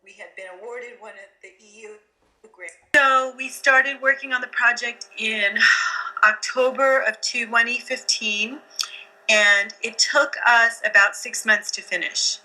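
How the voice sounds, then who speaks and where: A woman's voice: fairly high pitch, fairly even intonation, measured pace, pushed and bright, a little hiss or hum, poor audio. A woman from Afghanistan who grew up in the States, a documentary filmmaker answering interview questions candidly, with fillers and restarts.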